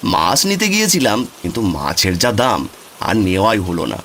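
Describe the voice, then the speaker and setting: A man acts a humble village dad in a cartoon. His mild, folksy voice sits fairly low but moves about a lot, with faint noise behind. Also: wildly swooping pitch, brisk, fairly bright tone, full character voice. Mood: mild, apologetic. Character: poor, mild village father and husband. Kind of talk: cartoon voice acting.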